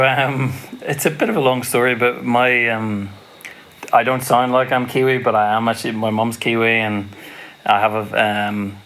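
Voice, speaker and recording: A man co-hosts a casual podcast in a fairly low voice. He talks in an easygoing, teasing way, relaxed as he tells a personal story. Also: poor audio. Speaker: podcast co-host.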